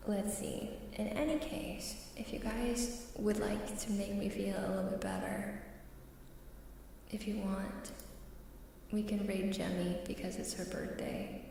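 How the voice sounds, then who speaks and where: A woman streamer chatting softly in ASMR style, often slipping into a breathy whisper, hesitant and sounding unwell. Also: medium pitch, level tone. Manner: slow, hesitant, halting, apologetic. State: unwell, queasy. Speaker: live streamer. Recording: very echoey, distant, faint background noise.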